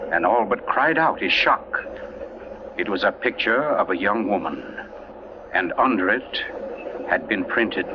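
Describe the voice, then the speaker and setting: Suave, theatrical crime writer, old radio. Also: male voice, fairly low pitch, noisy background. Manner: suave, dramatic, savoring pauses. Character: urbane, theatrical male crime writer recounting a mystery. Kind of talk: 1940s radio acting. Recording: muffled vintage radio broadcast with music.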